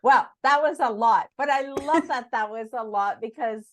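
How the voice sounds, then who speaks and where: A woman's voice: fairly high pitch, moderate pace. A woman hosting a podcast in a chatty, effusive, enthusiastic manner. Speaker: podcast host.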